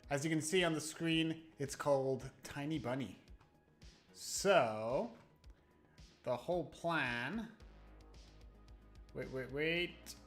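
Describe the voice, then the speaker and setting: A man's voice: fairly high pitch, slow pace, pauses often, fairly bright tone. Man chatting casually on a livestream. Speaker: live streamer and host. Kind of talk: casual livestream chat to viewers. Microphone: new close microphone, no webcam. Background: background music.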